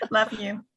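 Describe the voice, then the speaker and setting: A woman's voice: on the high side, a bit bright, dry recording, studio quality. A woman co-hosting a podcast, chatting casually and joking playfully. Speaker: podcast co-host. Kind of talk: casual chat.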